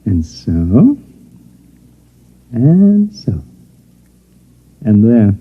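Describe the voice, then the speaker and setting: A man's voice: fairly low pitch, huge pitch swings, very slow, slightly rough, exaggerated voice. An actor playing a genial, talkative counterfeiter in a 1950s radio science fiction drama, with an urbane, showman's manner. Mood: confident showmanship. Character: genial, talkative male counterfeiter living among aliens. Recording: dull, muffled vintage radio recording.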